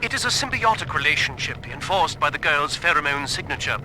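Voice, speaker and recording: An actor playing a proud, clinical scientist, reporting formally and with self-satisfaction in a game audio log. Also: male voice, fairly high pitch, sing-song, a little fast, sharp tone, heavily acted. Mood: satisfied, clinical. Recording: faintly noisy audio log.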